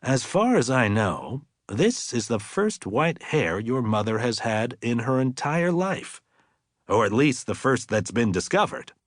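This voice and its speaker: A man voicing an earnest, reflective middle-aged father in an audiobook, musing deliberately and with emphasis. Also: mid-range voice, big pitch swings, no echo, studio quality.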